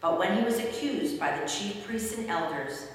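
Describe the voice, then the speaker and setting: A woman's voice: mid-range voice, expressive, moderate pace. A woman narrating a scripted dramatic Bible reading in a formal storytelling manner. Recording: distant, very echoey hall, faint noise.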